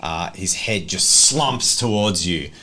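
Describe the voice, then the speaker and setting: A man's voice: deep voice, expressive, slow pace, sharp tone, breathy edge. A man acting as game master, describing scenes conversationally for a tabletop roleplaying game.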